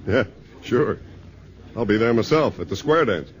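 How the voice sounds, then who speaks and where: An actor playing an easygoing, folksy small-town sheriff in a 1950s radio drama. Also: male voice, on the low side, expressive, normal speed, natural voice. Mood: matter-of-fact. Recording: dull old radio recording, music behind.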